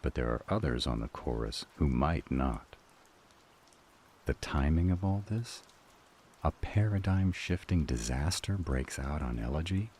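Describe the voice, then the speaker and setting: A man playing a weary, firm demon council member in an audio drama, speaking in a measured, argumentative way. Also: extremely low pitch, a little mellow, a little hiss or hum. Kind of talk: dramatic audio roleplay acting.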